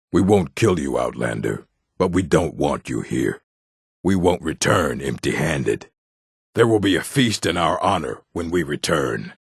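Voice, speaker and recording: An actor playing a gruff, proud orc in a fantasy video game, blunt and stern, with a deep, husky, gravelly voice. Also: male voice, no room sound, clean studio sound, heavily acted. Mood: hostile, dismissive. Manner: blunt, stern, terse, solemn. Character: gruff, proud, hostile male orc of a stronghold.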